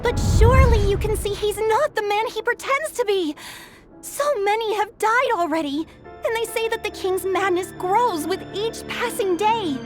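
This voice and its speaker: Anxious young game character, piercingly high, imploring, frightened, clear background music. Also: female voice, normal speed. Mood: desperate, pleading. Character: frightened, pleading young persecuted friend, small and anxious. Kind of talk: scripted video game voice acting.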